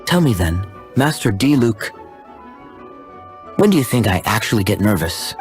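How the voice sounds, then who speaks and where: Wry, teasing ice swordsman for a game, male actor: lowish voice, animated inflection, music underneath. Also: moderate pace. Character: wry, smooth-talking ice-wielding swordsman, adult male. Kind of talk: video game voice acting.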